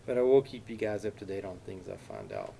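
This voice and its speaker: A man's voice: low-pitched, wildly swooping pitch, fairly quick, gravelly, dark tone, a little hiss or hum, full character voice. A man voicing a worried young woman who confides warnings to her friends. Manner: earnest, anxious, confiding.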